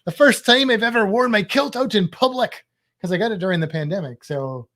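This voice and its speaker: A man's voice: high voice, lively intonation, fairly quick, a bit bright. A man co-hosting a casual cruise podcast, joking around and making puns. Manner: jokey, playful with puns. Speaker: podcast co-host. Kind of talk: casual chat.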